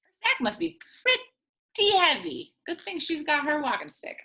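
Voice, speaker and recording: Animated bright-voiced woman reading a storybook. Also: high voice, a little slow. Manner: playful, expressive, with whispered asides to young listeners. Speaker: early childhood teacher reading a picture book aloud. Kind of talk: animated children's storybook read-aloud.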